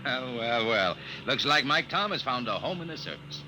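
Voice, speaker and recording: A man's voice: medium pitch, sing-song, moderate pace, noisy background, natural voice. A man acting an eager young frontier-service officer who tells his story in a 1950s radio drama. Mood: easygoing, curious. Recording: old 1950 radio recording, music underneath.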